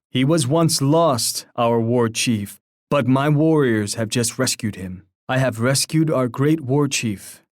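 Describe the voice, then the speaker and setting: An actor playing a proud, poetic Native war chief in a video game, speaking in a formal, grandiose, oratorical manner. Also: male voice, mid-range voice, a little mellow, dry recording, clean studio sound, lightly acted. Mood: resolute. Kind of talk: scripted video game voice lines.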